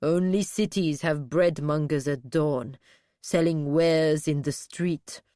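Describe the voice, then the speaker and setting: A woman narrator voicing a slow-witted, grim male captive who speaks bluntly and laboriously. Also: deep voice, fairly even intonation, mellow, no echo, clean studio sound, pitched below the performer's own voice. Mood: pondering.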